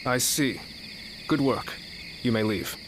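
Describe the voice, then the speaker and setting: A man playing a shrewd, commanding strategist of ancient China in a game cutscene, speaking formally and deliberately. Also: mid-range voice, background noise, natural voice. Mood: stern, accusing. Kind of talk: video game cutscene acting.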